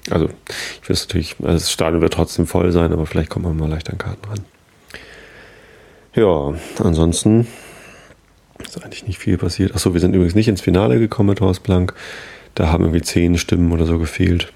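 A man in his thirties hosting a podcast, rambling softly and questioning himself before reading aloud to help listeners fall asleep. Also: low-pitched, fairly even intonation, fairly quick, vocal fry, long pauses, breathy edge. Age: 30s. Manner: rambling, self-questioning, soft-spoken. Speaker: podcast host. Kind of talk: casual chat then sleepy reading aloud.

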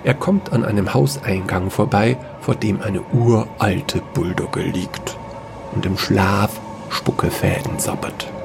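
Low, creaky-voiced male storyteller. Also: slow, noisy background. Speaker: narrator. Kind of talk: scripted sci-fi fairy-tale audio story narration.

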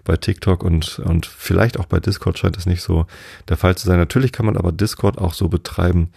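A man in his forties hosting a bedtime podcast, chatting slowly and rambling with many hesitations. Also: deep voice, steady tone, gravelly, dark tone, slightly rough, dry recording, clean studio sound. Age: 40s. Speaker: podcast host. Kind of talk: calm bedtime podcast chat.

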